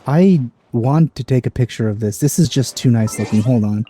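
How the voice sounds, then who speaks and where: A man hosts a let's play, narrating casually over the game. His tone is very dark and mellow. He keeps up a stream of wry, sarcastic asides. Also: mid-range voice, moderate pace, faint music behind. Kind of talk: casual gameplay commentary.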